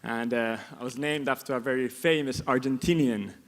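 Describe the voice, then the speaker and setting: A man's voice: medium pitch, steady tone, moderate pace. Hesitant man speaking in an echoey hall. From Finland. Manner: hesitant, many fillers and repeated words. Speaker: conference speaker. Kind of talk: conference presentation. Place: conference hall.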